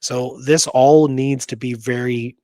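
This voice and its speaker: A man's voice: mid-range voice, fairly even intonation, slow pace, warm tone, no room sound. A man hosting a conversational history discussion, speaking in an emphatic, opinionated way and repeating points to drive them home. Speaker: podcast host explaining frontier history.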